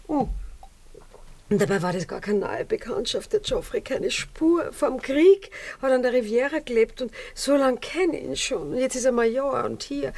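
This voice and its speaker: A woman's voice: fairly high pitch, expressive, moderate pace, gravelly, a little mellow. A woman acting a worldly, flighty, self-dramatising baroness with a Viennese accent in a radio play, speaking in an affected, theatrical way. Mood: chatty, self-pitying. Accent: Viennese Austrian. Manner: affected, theatrical, sprinkles in French phrases.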